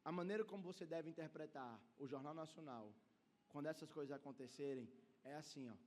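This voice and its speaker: Male pastor, Ceará accent, high voice, preaching conversationally with emphasis and check-ins, live in a church hall with long reverb. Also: brisk, a little mellow. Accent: northeastern Brazilian, Ceará. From Brazil. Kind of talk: expository sermon to a congregation.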